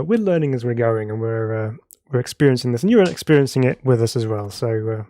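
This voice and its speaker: A man's voice: mid-range voice, dark tone. Congested man streaming sim racing. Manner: chatty and self-laughing, reads viewer chat aloud. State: congested from a cold. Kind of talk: live gameplay commentary.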